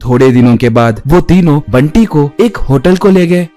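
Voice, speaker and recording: A man's voice: on the high side, expressive, moderate pace, dark tone, soft background music. A man reading scripted narration as the storyteller of a cartoon.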